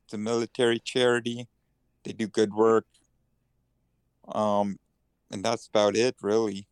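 A man's voice: on the low side, little pitch movement, a little slow, clear, resonant tone, no room sound. A man in his thirties, a podcast guest, chatting in a laid-back, self-deprecating and hesitant way. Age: 30s. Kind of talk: casual podcast chat.

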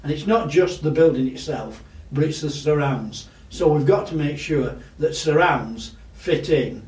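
A man, a local councillor with a loud voice, talking casually in an interview and pausing often with fillers. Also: fairly high pitch, lively intonation. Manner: loud voice, halting with many fillers. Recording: echoey room, distant mic.

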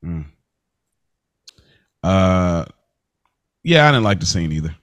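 A man's voice: sits low, big pitch swings, takes it slowly. An American man hosting a podcast, chatting casually as he reviews television. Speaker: podcast host.

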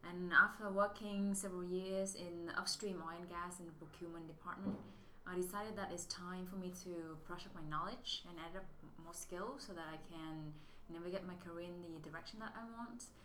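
A woman's voice: on the low side, fairly even intonation, a little fast, sharp tone. Woman in an echoey room. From Vietnam. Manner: thoughtful, restarts often, non-native English phrasing. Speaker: MBA student guest. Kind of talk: casual interview answers. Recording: echoey room with faint noise.

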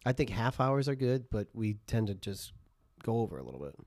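A man's voice: on the low side, very animated, a little fast, a little mellow, dry recording. A man co-hosting a podcast in casual, slangy, teasing banter. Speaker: podcast co-host.

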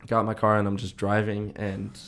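A man's voice: fairly low pitch, brisk. A young man co-hosting a podcast, telling stories in a casual, slangy way full of "dude" and "like". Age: young adult. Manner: slangy, animated retelling with heavy "dude" and "like". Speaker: podcast co-host. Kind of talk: casual chat, storytelling.

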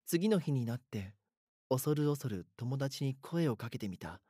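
An actor playing a frightened schoolboy who narrates a horror audio drama in an earnest, dramatic way. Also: male voice, medium pitch, expressive, unhurried, mellow, slightly rough, dry recording, studio quality. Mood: somber, uneasy. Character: frightened schoolboy haunted by his doppelganger. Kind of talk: first-person scripted horror narration.

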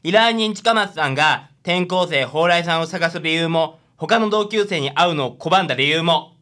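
A man's voice: on the high side, moderate pace, sharp tone. A man playing a cheeky, eager fifth-grade boy who is an apprentice detective in a comic radio drama. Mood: confident.

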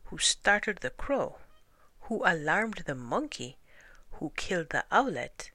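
A woman voicing a stern, commanding lion king who declaims judgments in an animated folktale. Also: lowish voice, a little slow, dry recording, voice pushed up, full character voice. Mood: pronouncing judgment. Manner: declamatory, cumulative repetition.

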